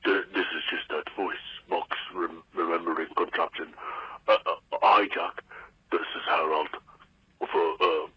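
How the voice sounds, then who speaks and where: A man playing a timid, bumbling townsperson leaving a stammering voicemail in a radio drama, with a rough voice. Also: deep voice, fairly even intonation, heavily acted. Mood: hesitant, grateful. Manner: halting, stammering. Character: bumbling, timid, grateful male townsperson caller. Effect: voicemail filter. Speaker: voicemail caller. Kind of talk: scripted radio audio drama. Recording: muffled voicemail recording.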